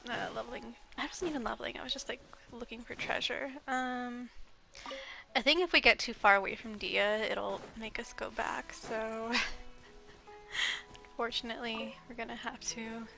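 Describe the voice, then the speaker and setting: A woman's voice: on the high side, normal speed, gravelly, sharp tone, rough recording. A young woman streaming a video game from home over a headset, a little congested, rambling casually with frequent ums and giggles. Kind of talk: casual gameplay live stream chat. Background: faint game music.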